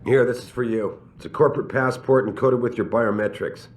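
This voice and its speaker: A stern male corporate boss , played by a man, for a dramatic video game. His voice sits at a middle pitch. He sounds guarded and authoritative, pressing his case with firm, idealistic conviction. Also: a little fast, a little hiss or hum, lightly acted. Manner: firm, defensive, persuasive.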